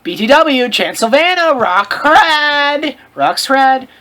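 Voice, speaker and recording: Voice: high voice, very animated, slow, pushed and bright. A co-host reading fan fiction aloud in a mocking, casual way, exclaiming and breaking off to comment on the text.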